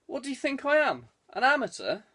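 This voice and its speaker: A man's voice: extremely high pitch, wildly swooping pitch, brisk, bright, forceful tone, pitched above the performer's own voice, full character voice. A man voicing a wry, teasing saboteur given to sardonic banter in an audiobook. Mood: mock-exasperated. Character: wry, teasing male saboteur, ribbing his friend.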